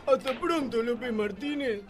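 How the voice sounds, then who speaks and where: An actor playing a dim, corrupt Argentine small-town police chief who talks in a slow, dopey way. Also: male voice, extremely high pitch, soft background music. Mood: fawning.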